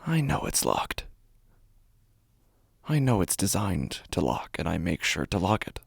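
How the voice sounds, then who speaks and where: A man playing an anxious, obsessive apartment dweller in a horror audio drama monologue, with a gravelly, often breathy voice and intense, deliberate delivery. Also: big pitch swings, dry recording, clean studio sound, exaggerated voice. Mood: tense, brooding. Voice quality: low, gravelly, often breathy, dropping to whispers. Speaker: horror fiction narrator.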